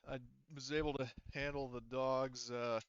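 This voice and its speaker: A man running a tabletop game as game master over a voice call, narrating and chatting casually in halting, rambling stretches full of fillers. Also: mid-range voice, flat delivery, clear, resonant tone, dry recording, muffled recording. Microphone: online voice call microphone.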